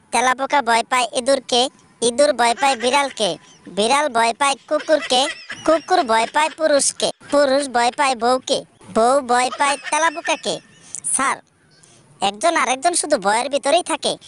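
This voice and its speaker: A woman's voice: high voice, moderate pace, a bit bright. A woman voicing a cheeky schoolchild in a comedy cartoon, answering with matter-of-fact confidence. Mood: confident, storytelling.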